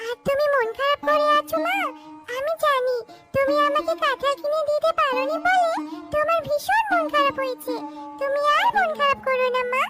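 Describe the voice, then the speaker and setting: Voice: very high-pitched, normal speed, over music. An actor voicing a sweet, eager little girl fox in a children's cartoon, childlike and coaxing. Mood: sweet, reassuring.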